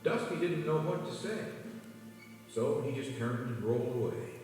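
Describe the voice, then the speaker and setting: Echoey male storybook narrator with lively pitch. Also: moderate pace. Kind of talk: scripted children's read-along narration. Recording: echoey hall, distant, music behind. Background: music.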